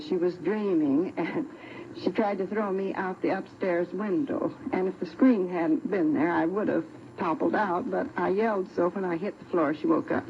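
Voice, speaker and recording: An American woman plainly and conversationally recounting a personal story as a guest on an old radio broadcast. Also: lowish voice. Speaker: show guest telling an anecdote. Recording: dull, noisy old radio recording.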